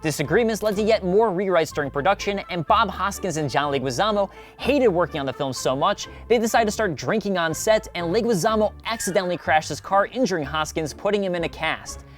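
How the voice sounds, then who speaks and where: A man's voice: high voice, animated, brisk, few pauses. A man hosting and narrating a scripted video essay in an emphatic, conversational presenter style. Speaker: video host. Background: background music.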